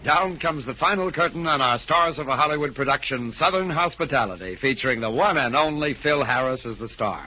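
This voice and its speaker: A man's voice: medium pitch, expressive, brisk, hardly any pauses, music in the background. A man announcing in a polished, showmanlike 1940s radio style. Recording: dull 1940s radio recording.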